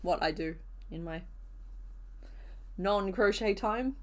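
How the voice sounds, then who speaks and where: Hoarse-voiced woman chatting on a crochet stream. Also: mid-range voice, takes it slowly. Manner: chatty, answers viewers' comments as she works. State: rough, hoarse voice. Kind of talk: casual live-stream chat while crocheting.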